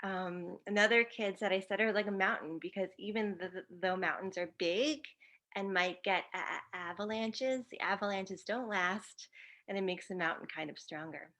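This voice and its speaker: Woman moderator with an open stutter, mid-pitched, upbeat, laughs often, video call. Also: moderate pace, fairly bright tone. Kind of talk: casual video-call chat.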